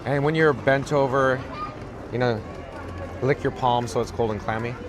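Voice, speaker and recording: A man with a raspy voice hosting a casual livestream outdoors, reading chat aloud in a dry, self-mocking monotone while holding his camera rig. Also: mid-range voice, normal speed, background noise. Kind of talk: casual live-stream chatter. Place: outdoors on a parade route. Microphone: camera rig held by the speaker. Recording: outdoor crowd with music behind.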